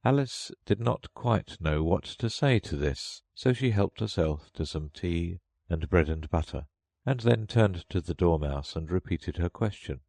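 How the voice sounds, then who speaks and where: A man narrating a children's audiobook in a gentle, expressive storybook read. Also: low-pitched, measured pace, gravelly, no room sound.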